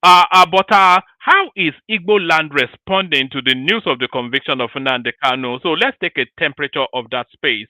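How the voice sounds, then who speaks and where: A man's voice: a little high, a little fast, few pauses, sharp tone, no echo. A man hosting an opinionated commentary show, talking emphatically with rhetorical questions and repeated phrases.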